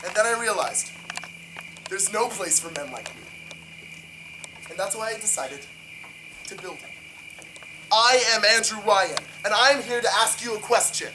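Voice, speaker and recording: Man acting as grandiose game tycoon, contemptuous, defiant, emphatic orator, deliberate phrasing, clearly noisy recording. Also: high voice, lively intonation. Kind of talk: dramatic video game monologue.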